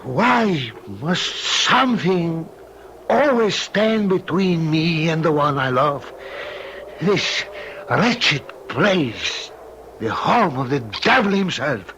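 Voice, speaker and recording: An actor playing a tormented older Spanish nobleman in an old radio drama, with a solemn, halting, melodramatic delivery. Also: a little high, big pitch swings, a bit bright, slight background noise, heavily acted, low-quality recording. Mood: anguished, bitter.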